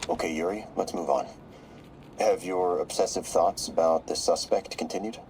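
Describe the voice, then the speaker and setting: An actor playing a shrewd, corruptible therapist in a video game, measured, probing and knowing, with slight noise behind him. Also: male voice, sits low, steady tone, darkish tone. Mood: calm, clinical. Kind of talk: video game voice acting, dramatic dialogue.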